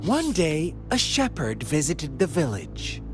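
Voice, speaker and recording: A man's voice: on the high side, wildly swooping pitch, unhurried. An actor voicing a sly, mocking young male villain in a game cutscene, speaking in a theatrical, teasing, fable-telling manner. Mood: storytelling. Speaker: game antagonist. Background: game soundtrack music.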